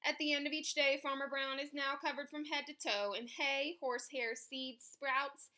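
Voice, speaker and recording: A woman reading a story aloud for children in an expressive, emphatic way. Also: high voice, moderate pace. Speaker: picture book reader.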